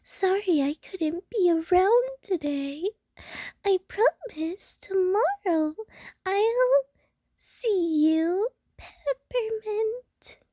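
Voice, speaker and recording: A sweet girl's voice for an audio roleplay. It is extremely high and squeaky, as if on helium, yet softly rounded, with drawn-out, slow phrasing. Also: animated, no echo, studio quality, full character voice. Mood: sweet, apologetic. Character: impression of a sweet, very high-voiced female friend.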